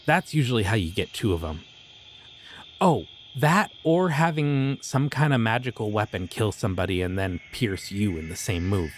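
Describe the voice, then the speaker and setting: A man voicing a friendly but creepy young occult shopkeeper in an audio roleplay, talking in a casual, easygoing way about dark rituals. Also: on the low side, animated, normal speed, slight background noise, close to an everyday voice. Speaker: voice actor.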